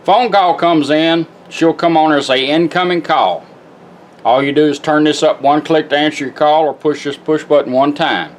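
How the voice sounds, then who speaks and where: Folksy man with a higher-pitched voice. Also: steady tone, moderate pace. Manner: plainspoken, folksy, step-by-step instructing. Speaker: product demonstrator and car radio rebuilder. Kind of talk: casual hands-on product tutorial.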